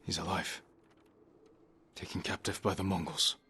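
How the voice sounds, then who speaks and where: An actor playing a determined, earnest samurai warrior in a video game, with a solemn, terse and resolute delivery. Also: male voice, deep voice, level tone, normal speed, sharp tone, husky, full character voice. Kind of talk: dramatic video game acting.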